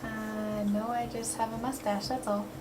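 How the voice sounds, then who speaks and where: A young female performer voicing a reluctant young male puppet, terse, hesitant and deadpan, in an improvised puppet show. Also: fairly high pitch, normal speed, music in the background, natural voice. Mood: awkward. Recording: noisy, amateur home recording.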